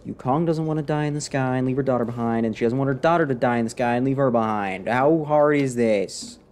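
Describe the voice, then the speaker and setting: A young man streaming a game with sarcastic, deadpan and exasperated commentary, close on a desk microphone. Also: medium pitch, fast talker, slight background noise. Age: young adult. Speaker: streamer playing and reacting to a game. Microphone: desk microphone close up, with headset.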